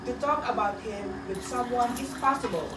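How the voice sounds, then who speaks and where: A woman narrating a radio drama in a deliberate, solemn storytelling manner, reading a dramatic script. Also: a little high, close to an everyday voice. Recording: echoey, distant, very noisy.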